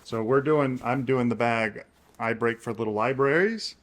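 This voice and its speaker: A man's voice: medium pitch, normal speed. A man in his thirties co-hosting a casual guessing game, chatting in an easygoing, joking way. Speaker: co-host of a book channel.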